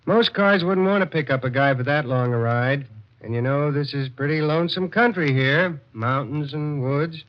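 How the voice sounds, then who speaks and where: An actor playing a chatty, easygoing gas station attendant in a 1940s radio drama, on an old lo-fi recording. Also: male voice, fairly high pitch, normal speed, close to an everyday voice. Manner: folksy, easygoing, polite.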